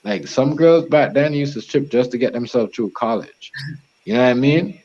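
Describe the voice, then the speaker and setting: A man's voice: medium pitch, normal speed, darkish tone. A man born in Jamaica and raised in Toronto talks loosely as a chef in a casual chat. He rambles, restarts his sentences and swears freely. The sound is a little dull and lo-fi. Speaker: chef, co-host.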